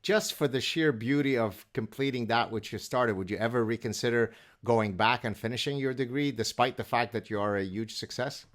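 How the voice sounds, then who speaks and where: Middle-aged male host, mid-pitched, courteous and curious interviewer. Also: a little fast, hardly any pauses. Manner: polite and inquisitive, occasional filler "uh". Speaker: podcast host and professor. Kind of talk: conversational interview.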